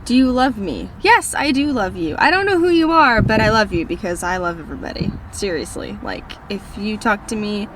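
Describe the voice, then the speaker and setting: A woman's voice: mid-range voice, expressive, a little fast, few pauses, background noise. A teenage girl from Minnesota with a northern accent, rambling cheerfully through viewer questions in a casual vlog from her car. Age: teens. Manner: bubbly, rambling, self-deprecating. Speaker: book vlogger. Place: in a parked car.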